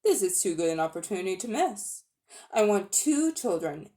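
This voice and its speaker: A woman's voice: medium pitch, sing-song, normal speed, warm tone, natural voice. A woman voicing a scheming, falsely friendly old uncle who is a magician, in a storybook reading. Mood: sly.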